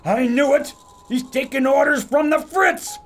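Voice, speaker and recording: An actor playing a brash, suspicious American fighter pilot in an old-time radio adventure style. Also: male voice, very high-pitched, unhurried, noisy background. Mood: alarmed, accusing.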